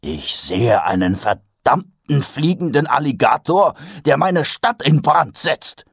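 A man voicing a weary, sardonic city watch captain who mutters in a low, half-whispered grumble. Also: big pitch swings, slow pace, gravelly, husky, no room sound, studio quality, exaggerated voice. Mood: exasperated.

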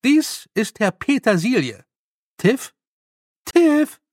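A man voicing a brisk, bossy, good-humoured old woman witch with a loud, teasing and scolding manner, in an audiobook. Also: sits high, big pitch swings, dry recording, studio quality, pitched above the performer's own voice, heavily acted. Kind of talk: audiobook character voice.

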